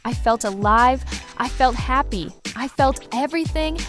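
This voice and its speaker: A woman's voice: high voice, a little slow. A woman playing an emotionally raw woman finding her courage, in simple short sentences for an educational radio drama. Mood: elated. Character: Olivia, emotionally raw young woman finding courage after losing job. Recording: music bed behind the voice.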